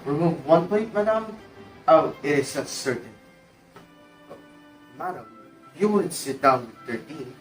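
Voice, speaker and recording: A man's voice: on the high side, animated, measured pace, long pauses. Man playing an echoey, distant, deferential butler. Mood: polite concern. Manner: formal, polite. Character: deferential, long-suffering household butler. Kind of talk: amateur one-act play acting. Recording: echoey, distant mic, music behind.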